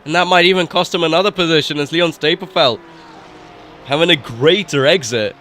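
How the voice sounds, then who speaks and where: Man, nasal with a cold, live racing commentator, bright forceful tone, faint background noise. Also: on the high side. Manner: excited rises at overtakes, quick asides. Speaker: race commentator.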